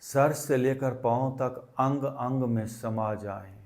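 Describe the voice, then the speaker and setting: A man leading a guided meditation as a teacher, speaking deliberately and instructively in short phrases with pauses. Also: mid-range voice, gravelly, darkish tone.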